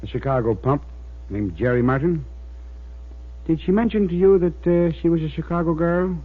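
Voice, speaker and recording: An actor playing a dry, teasing American police colleague in an old radio drama, probing with pointed pauses. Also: male voice, on the high side, natural voice. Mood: measured, insistent. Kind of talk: mid-century radio drama acting. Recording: tinny, narrow old radio recording.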